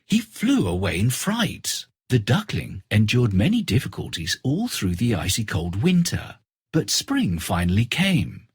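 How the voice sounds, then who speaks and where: A man narrating a scripted children's fairy tale in an expressive, deliberate storytelling manner. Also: medium pitch, slightly rough, no room sound, clean studio sound.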